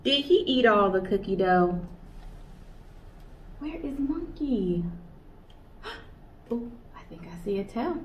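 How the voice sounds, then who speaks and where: A woman's voice: fairly high pitch, animated, takes it slowly, long pauses. A young adult woman, a children's librarian, reading a picture book aloud to kids in a playful sing-song way, from a small indoor room. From United States. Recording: slightly distant, dull, faint hiss.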